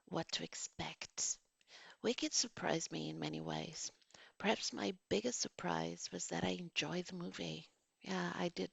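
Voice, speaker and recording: A woman's voice: fairly low pitch, gravelly, slightly rough, no echo. A woman in her forties, a vlogger and teacher, reads a written movie review and drifts off into casual, digressive asides. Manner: digressive, reads formal sentences then drifts into casual remarks. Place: home.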